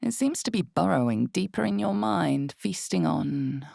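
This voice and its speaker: A woman voicing a grave, ominous fantasy game narrator, with a gravelly voice and a measured, dramatic delivery in scripted second person. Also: fairly low pitch, expressive, dark tone, dry recording, studio quality, exaggerated voice. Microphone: close studio microphone, dry.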